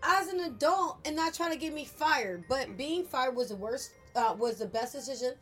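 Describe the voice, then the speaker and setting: A woman's voice: high-pitched, animated, normal speed, faint music behind. Blunt, direct woman with a strong voice. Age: adult with a daughter. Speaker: podcast guest. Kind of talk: casual chat.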